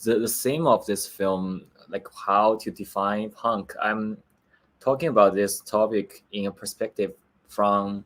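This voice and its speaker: Slow, halting man with a Chinese accent. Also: lowish voice, fairly even intonation, fairly bright tone. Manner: halting, searching for words, many restarts. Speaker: film director. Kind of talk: remote interview. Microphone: video call.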